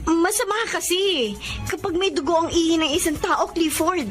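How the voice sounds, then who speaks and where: A woman's voice: very high-pitched, normal speed, faint music behind. A woman acting a caring, earnest young elder sister in a Filipino radio drama. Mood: worried.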